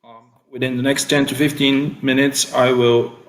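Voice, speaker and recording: A man with a Bulgarian accent gives a business briefing as a chief executive, pausing to find his words. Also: mid-range voice, flat delivery, rough recording. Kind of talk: semi-scripted business briefing.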